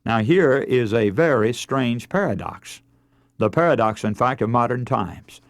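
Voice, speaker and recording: A man's voice: medium pitch, expressive, measured pace, dry recording, clean studio sound. A middle-aged man preaching a radio sermon in an earnest, emphatic, oratorical manner. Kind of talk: radio sermon with scripture readings.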